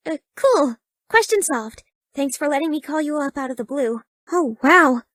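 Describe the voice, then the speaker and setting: A very high, girlish voice in a close, dry recording, playing a shy and bookish young woman from a dating sim. She is earnest and easily flustered, with a hesitant, stammering delivery that keeps her sweetly awkward. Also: fairly bright tone, no echo, full character voice. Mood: flustered, smitten. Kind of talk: video game dating-sim voice acting.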